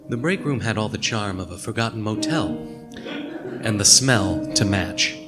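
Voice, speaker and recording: An actor playing a wry, hard-boiled male detective in a noir radio play, with a dry, deadpan delivery. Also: on the low side, moderate pace, lightly acted. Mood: brooding narration. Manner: dry, world-weary, deadpan. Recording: music behind the voice.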